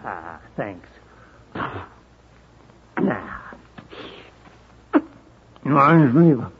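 Drowsy old printer, very husky, slow folksy rambling, fond of ale, old radio drama, dull sound. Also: male voice, high-pitched. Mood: contented. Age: older. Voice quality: husky, creaky.

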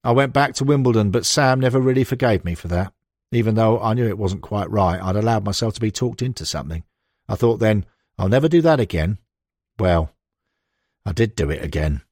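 A man's voice: lowish voice, animated, fairly quick, a little mellow, no room sound, clean studio sound. A man reading the interview quotes of a frank football manager, with blunt, emphatic, conversational storytelling in an audiobook. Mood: indignant, candid. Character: frank, animated male football manager reminiscing. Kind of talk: acted interview quotations in an audiobook.

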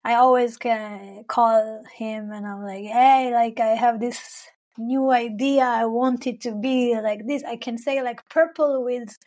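A woman's voice: on the high side, fairly even intonation, a little slow. Young woman singer, not an english speaker, rambly and laughy, lots of likes. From Israel-Palestine. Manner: warm, searching for words, frequent "like" and "you know", laughs often. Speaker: singer, guest. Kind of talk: casual conversational interview.

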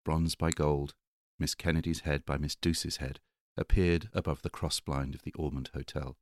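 A man's voice: extremely low pitch, fairly even intonation, normal speed, no room sound, studio quality. A man reading a novel aloud as an audiobook narrator. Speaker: audiobook reader. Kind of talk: literary narration.

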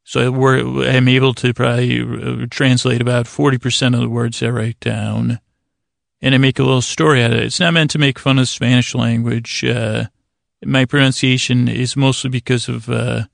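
A man hosting a bedtime podcast in a gravelly voice, rambling and hesitant, self-deprecating, wandering off into tangents. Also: medium pitch, no room sound, clean studio sound. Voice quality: gravelly, creaky. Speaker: podcast host.

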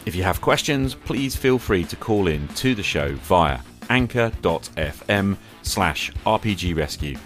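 A man's voice: low voice, lively intonation, a little slow, hardly any pauses, fairly bright tone, faint music behind. A man hosting a podcast, talking conversationally in an earnest, reflective manner. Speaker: podcast host.

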